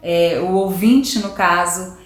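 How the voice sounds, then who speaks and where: Brief: female actress in an interview, eager and reflective, mid pitch, echoey room. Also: slow pace, faint music behind. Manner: enthusiastic, reflective, hesitant restarts. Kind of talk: interview talk.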